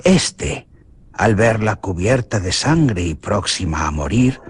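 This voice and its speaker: A man's voice: sing-song, slow, breathy edge. Theatrical male radio-drama narrator. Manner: theatrical, with big swings in pitch. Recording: noisy radio drama recording.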